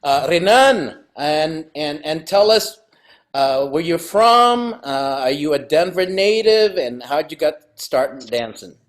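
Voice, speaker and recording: Brief: male podcast host, fairly high voice, restarts his questions a lot. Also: lively intonation, takes it slowly. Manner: stammering questions, frequent fillers. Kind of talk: casual interview.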